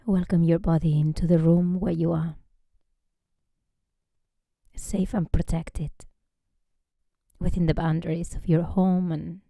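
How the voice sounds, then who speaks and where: A woman leads a guided relaxation in a slightly low, very mellow voice. She is gentle and unhurried, often easing into a breathy near-whisper. The sound is close and dry. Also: level tone, dry recording. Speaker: yoga nidra teacher.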